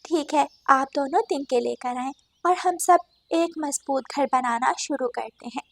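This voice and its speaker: A woman's voice: high voice, a little slow, gravelly, no echo. A woman voicing a kind, practical female little bird in a cartoon, polite and encouraging. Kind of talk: cartoon character acting.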